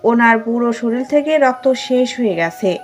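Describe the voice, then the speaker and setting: A woman's voice: fairly high pitch, lively intonation, normal speed, close to an everyday voice. A woman voicing an urgent, insistent hospital nurse in an audio story. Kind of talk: audio story character dialogue. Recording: music under the voice.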